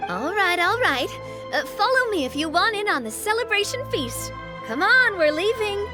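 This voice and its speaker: A woman's voice: very high-pitched, very animated, normal speed. A woman voicing a breezy, sociable young female syndicate boss in an English game dub, playful and friendly. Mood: upbeat. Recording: music behind the voice.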